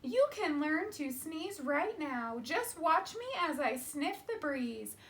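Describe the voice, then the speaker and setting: A woman's voice: high-pitched, very animated, slow pace, a bit bright, exaggerated voice. A woman voicing a big, confident, helpful bear in a theatrical, instructive way for a children's storybook. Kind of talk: children's storybook read-aloud.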